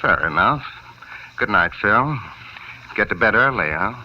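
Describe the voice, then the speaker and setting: Low-voiced, insinuating nightclub boss. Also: male voice, lively intonation, unhurried. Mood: cool. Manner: insinuating, falsely casual, chummy. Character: smooth, guarded male nightclub boss. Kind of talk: 1950s radio noir acting. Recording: dull old radio recording, distant mic.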